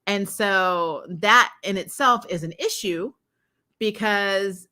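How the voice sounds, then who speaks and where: A woman hosting a casual, unscripted review, retelling scenes in slangy, animated talk and acting out reactions. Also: medium pitch, slow. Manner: animated, exclaiming, acts out reactions, laughs often; informal slangy talk with frequent "like" and "you know". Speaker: host of a TV episode review show.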